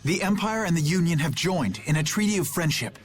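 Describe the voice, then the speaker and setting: An actor playing a principled young knight captain in a video game, formal, solemn and sincere. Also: male voice, a little high, expressive, fairly quick, faint music behind, lightly acted. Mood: firm, official.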